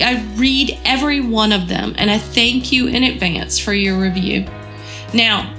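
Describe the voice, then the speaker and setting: A woman's voice: a little high, fairly bright tone. Froggy woman with a cold doing an earnest devotional podcast, takes her time, light music in the back. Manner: earnest, encouraging. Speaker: podcast host.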